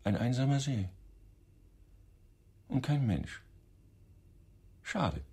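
An actor playing a dry, ironic, sceptical male police inspector in a radio play, official and deliberate, with a husky voice. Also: medium pitch, animated, dark tone, heavily acted.

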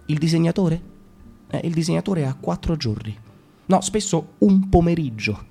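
A man's voice: on the high side, lively intonation, normal speed, gravelly, mellow, faint music behind. A man performing a reflective artist's monologue for a radio play, with emphatic turns. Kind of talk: theatrical reading of an artist's monologue.

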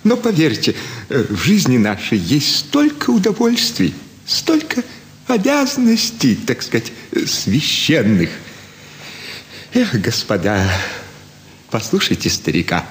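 A man's voice: medium pitch, a little hiss or hum. An actor playing a fawning elderly provincial landowner and doting father, effusive and sentimental in a classic stage radio play. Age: older. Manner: effusive, sentimental, flattering with many sighs. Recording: roomy stage hall with faint ambience.

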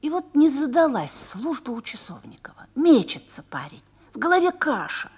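A woman's voice: high-pitched, very animated, normal speed, darkish tone. An actress playing a seasoned, motherly garrison officer's wife who instructs earnestly and persuasively in a Russian radio play. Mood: storytelling.

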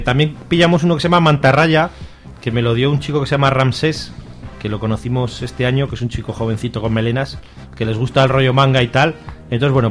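A man with a Spanish accent from Spain co-hosting a casual radio chat about comics, colloquial and joking. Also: mid-range voice. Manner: colloquial, jokey. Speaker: radio show co-host.

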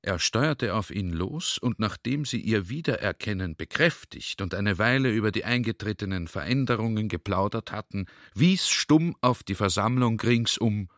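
A man's voice: fairly low pitch, animated, normal speed, few pauses, fairly bright tone. A man narrating a literary radio drama in standard speech.

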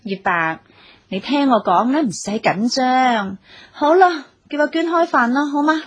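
An actress playing a bossy, self-assured, scheming wife in a Cantonese radio drama, commanding and coaxing by turns. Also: female voice, sits high, expressive, measured pace, slightly rough, muffled recording, heavily acted. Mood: confident, coaxing.

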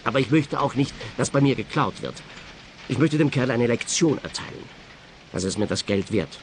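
Schauspieler als ernster Schrottplatzchef, leichte Hintergrundgeräusche. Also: male voice, mid-range voice, expressive, normal speed, a little hiss or hum, natural voice. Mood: aggrieved, firm. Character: earnest, matter-of-fact male scrapyard boss. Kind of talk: radio play dialogue.

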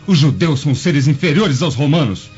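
A man's voice: on the high side, expressive, normal speed, darkish tone, close to an everyday voice. Arrogant young servant male actor, sneering and swooping, for a radio play with dull sound and music. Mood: sneering, defensive. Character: arrogant, sneering young Roman slave, protesting innocence. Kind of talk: dramatized audio novel acting. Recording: dull lo-fi radio drama recording.

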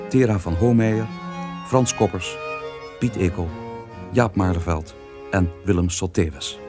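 A man reading closing credits as a formal radio drama announcer. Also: on the low side. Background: violin music.

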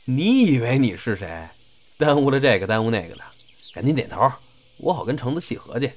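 A man's voice: mid-range voice, sing-song, brisk, slight background noise, natural voice. Curt, bossy troupe senior played by a man. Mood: curt, impatient. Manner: curt, commanding. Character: bossy, blunt male senior of a comedy troupe. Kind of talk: audio drama acting.

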